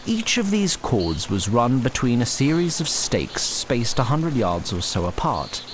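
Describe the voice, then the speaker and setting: A man narrating a scripted fantasy audio story in a measured, literary and slightly wry manner. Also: medium pitch, big pitch swings, a little hiss or hum.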